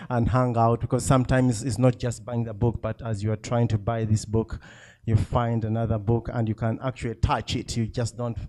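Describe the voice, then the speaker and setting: Man, warm low-key tone, loose chatty delivery full of ums and laughs. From Uganda. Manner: chatty, self-deprecating, laughs often, many fillers. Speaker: author speaking at a book event. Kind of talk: casual talk to a live audience.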